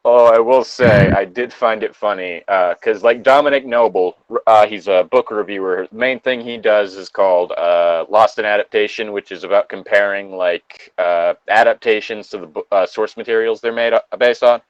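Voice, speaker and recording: A man chatting casually and rambling through halting asides as he co-hosts a read-aloud show over a voice call. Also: fairly low pitch, steady tone, no room sound, lo-fi sound. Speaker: co-host of a fan fiction reading show. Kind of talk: casual banter and commentary while reading aloud. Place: dry, close setup on a remote voice call.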